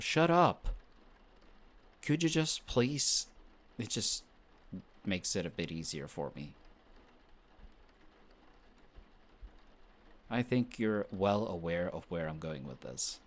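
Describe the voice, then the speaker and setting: A man playing a flustered, defensive young best friend who is secretly smitten, in an intimate romantic audio roleplay. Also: lowish voice. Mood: flustered, pleading. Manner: defensive, bickering, halting when shy.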